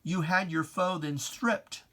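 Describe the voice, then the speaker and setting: A person giving a dramatic reading of religious verse in a theatrical, deliberate, taunting manner with pointed pauses. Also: mid-range voice, fairly even intonation, sharp tone. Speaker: poetry reader.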